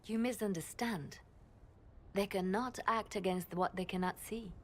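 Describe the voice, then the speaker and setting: An actress playing a composed spymaster who speaks softly and deliberately, with a conspiratorial edge. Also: female voice, medium pitch, slight background noise, lightly acted. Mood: measured. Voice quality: soft, measured, quietly conspiratorial. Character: composed, scheming spymaster woman.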